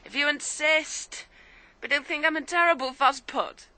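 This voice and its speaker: A woman's voice: high voice, moderate pace, pushed and bright. An actress playing a plucky young woman who brushes off her illness, in a radio drama. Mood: reassuring, weary.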